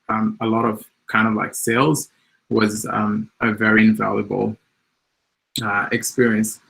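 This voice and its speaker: A man from Mozambique whose first language is Portuguese, telling the story of his work as a developer in English. His voice is low and gravelly, and he fills his speech with ums and likes as he goes. He stays on a flat, even pitch. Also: rough recording. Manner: hesitant, many ums and likes. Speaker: app developer and speaker. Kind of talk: personal talk on tech journey.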